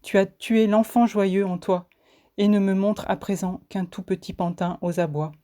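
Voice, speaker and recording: A woman recites spoken-word poetry in a warm, mellow voice of medium pitch. Her delivery is solemn and declamatory, with each phrase deliberately set out. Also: fairly even intonation. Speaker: poet reciting own poem.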